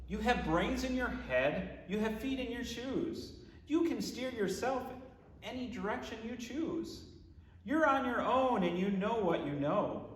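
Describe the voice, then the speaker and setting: A man with a high-pitched voice reads a children's storybook aloud in an expressive, theatrical way. His pitch swings widely through the rhymes, and he phrases each line deliberately. The sound is echoey, with long reverb around the voice. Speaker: pastor reading a children's book aloud. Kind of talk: expressive storybook read-aloud in rhyming verse.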